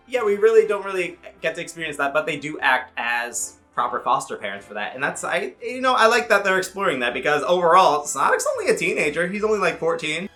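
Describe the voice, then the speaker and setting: A man in his thirties giving a casual, comedic movie review, rambling excitedly with swearing and jokey asides. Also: fairly high pitch. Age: 30s.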